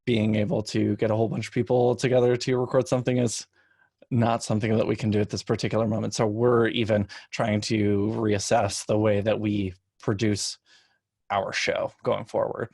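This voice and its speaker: A man on a panel giving casual, measured explanations with careful qualifications. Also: fairly low pitch, level tone, gravelly, a little mellow, husky, dry recording, clean studio sound. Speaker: podcast panelist.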